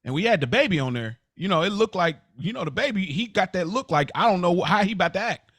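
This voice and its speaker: A man's voice: high voice, animated, fast talker, a bit bright, slightly rough, no echo. Man on a soapbox, dry close sound. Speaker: podcast co-host. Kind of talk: casual opinionated podcast discussion.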